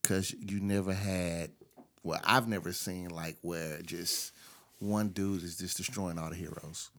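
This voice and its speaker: A man on a podcast panel talking casually about films, piecing his thoughts together in a halting way. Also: deep voice, steady tone, fairly bright tone. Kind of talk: casual film review chat.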